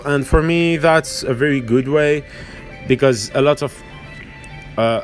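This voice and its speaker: A man with a French accent and French as his first language gives an informal hands-on painting tutorial, hesitant and halting as he searches for words. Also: mid-range voice. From France or French-speaking region. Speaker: miniature painting tutorial host.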